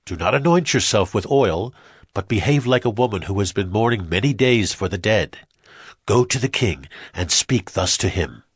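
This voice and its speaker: Scheming military man, husky low voice, terse orders, dry sound, audio Bible drama. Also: animated, moderate pace, dry recording. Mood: conspiratorial instructing. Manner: terse, instructing. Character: scheming military commander coaching a woman in deceit.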